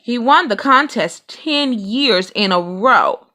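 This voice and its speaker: A woman's voice: a little high, animated, takes it slowly, bright, forceful tone, pitched above the performer's own voice, full character voice. A young boy bear cub in a storybook, played by a woman, glum and protesting. He complains with emphasis in a raised, swinging voice.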